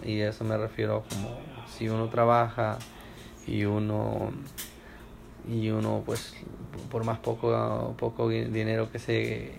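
A man's voice: on the low side, monotone, unhurried, gravelly, a little hiss or hum, rough recording. A young man from Ecuador answering oral history questions at home, hesitant with many fillers and restarts. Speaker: interviewee.

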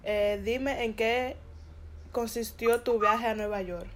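A woman interviewer asking short, soft-spoken questions for an oral history. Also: a little high, fairly even intonation, slow pace, a little hiss or hum. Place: Bronx, New York.

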